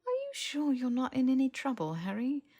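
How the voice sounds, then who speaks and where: A woman voicing a brisk, bookish, sceptical young woman in an audiobook, explaining and questioning with precision. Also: high-pitched, wildly swooping pitch, dark tone, voice pushed up, full character voice. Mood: sceptical, probing. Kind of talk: audiobook character dialogue.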